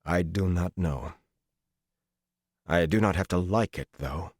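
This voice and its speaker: Man doing a worried young fighter, deep voice, firm but pleading, fantasy audiobook. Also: slow, darkish tone, breathy edge, no echo, studio quality, heavily acted. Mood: troubled. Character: earnest, anxious young male warrior from the country. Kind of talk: audiobook character acting.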